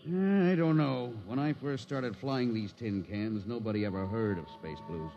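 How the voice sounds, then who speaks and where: An actor playing a seasoned, gruff but steady spaceship captain in a 1950s radio drama. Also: male voice, mid-range voice, a little slow, lo-fi sound, lightly acted. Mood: measured, concerned. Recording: 1950s radio recording, music behind.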